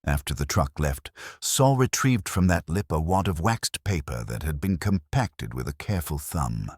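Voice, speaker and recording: A man's voice: rumbling low, sing-song, moderate pace, darkish tone, husky, dry recording, studio quality. A man narrating a scripted first-person detective story.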